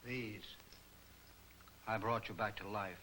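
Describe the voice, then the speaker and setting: Old serial crime boss, menacing n controlled, sharp edge to the voice, bit of film hiss. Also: male voice, on the low side, fairly even intonation, slow pace, rough recording. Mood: cold, controlled. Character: soft-spoken sinister criminal mastermind, man. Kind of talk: 1940s movie serial acting. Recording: hissy 1940s film soundtrack.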